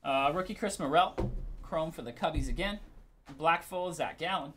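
A man's voice: fairly high pitch, moderate pace, background noise. Man calling out cards casually. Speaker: host of live sports card breaks. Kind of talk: casual live commentary on card openings.

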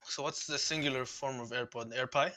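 A young man banters casually with friends on a group call, teasing and slangy. Faint music runs behind his mid-pitched voice. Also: fairly even intonation, a little fast, pushed and bright. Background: background music.

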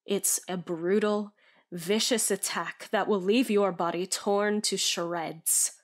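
Slow, solemn female vampire, played by a woman. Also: medium pitch, steady tone, natural voice. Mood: grim, disdainful. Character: bitter, sorrowful female vampire, former agent. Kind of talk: dramatic scripted monologue. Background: faint music.

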